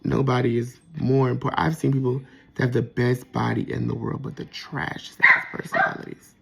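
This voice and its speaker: A man with a soft, gentle voice that carries a gravelly, creaky texture and a husky, slightly breathy edge. He muses out loud in a casual, personal monologue, stopping and restarting as he gathers his thoughts. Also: mid-range voice, huge pitch swings, normal speed. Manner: reflective, with frequent fillers and restarts. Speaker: vlogger.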